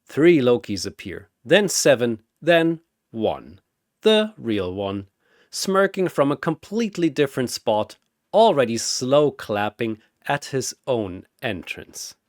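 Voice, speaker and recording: A man's voice: mid-range voice, sing-song, takes it slowly, no echo, studio quality. A man giving a dramatic, theatrical narration of a scripted story.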